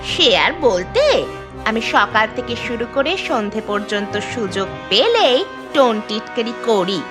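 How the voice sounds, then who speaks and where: Woman performing a spiteful cartoon housewife, high-pitched, very animated, sarcastic, scheming, taunting. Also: a little slow, over music. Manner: mocking, sarcastic, singsong jibes. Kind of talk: cartoon voice acting.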